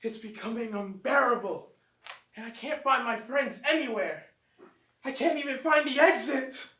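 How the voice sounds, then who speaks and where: An actor playing an uneasy young clubgoer narrating a horror tale in a theatrical, deliberate staged reading. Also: medium pitch, expressive, a bit bright. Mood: desperate. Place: small theatre, recorded from a distance. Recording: distant roomy stage recording, faint noise.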